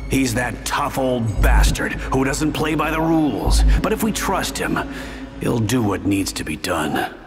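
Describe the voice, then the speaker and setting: An actor playing a contemptuous, self-assured middle-aged turncoat general in a video game. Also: male voice, medium pitch, big pitch swings, a little slow. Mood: contemptuous, smug. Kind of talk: video game cutscene acting. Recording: long hall reverb, music behind.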